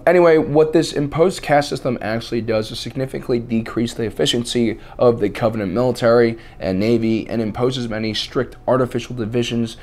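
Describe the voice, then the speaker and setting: A man hosting a scripted video essay, with a slightly raspy voice and a deadpan, mock-serious delivery. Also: mid-range voice, brisk, few pauses. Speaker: host.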